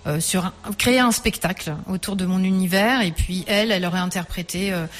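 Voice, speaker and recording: A woman musician being interviewed on radio, talking thoughtfully with many pauses and fillers. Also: fairly low pitch. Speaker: musician guest.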